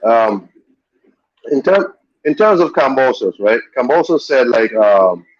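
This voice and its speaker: A man's voice: mid-range voice, expressive, a little slow, no room sound. Opinionated male boxing co-host, blunt, dull dry lo-fi sound. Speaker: podcast co-host. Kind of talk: casual boxing talk. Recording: muffled dry audio.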